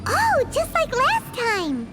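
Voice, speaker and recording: Squeaky, very high childlike fairy companion. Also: female voice, wildly swooping pitch, slow pace, heavily acted. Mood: amazed, curious. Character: tiny childlike floating fairy companion, curious and naive. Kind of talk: video game character voice acting. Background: game music.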